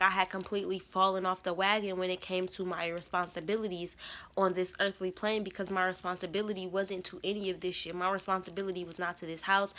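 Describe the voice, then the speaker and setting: A woman's voice: mid-range voice, fairly bright tone. A woman in her twenties giving an emphatic, slangy spiritual testimony to her viewers. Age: 20s. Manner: emphatic, repetitive, slangy storytelling. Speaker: spiritual vlogger.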